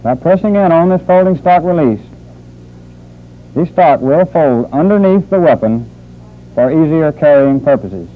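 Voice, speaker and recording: Male army instructor for vintage training film, higher-pitched voice, formal and deliberate, thin phone-like sound. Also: lively intonation. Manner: formal, measured, deliberate phrasing. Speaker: military weapons instructor.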